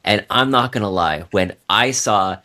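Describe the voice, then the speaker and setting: A man talking casually as a guest novelist on a podcast, telling stories in an animated way. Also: lowish voice, unhurried.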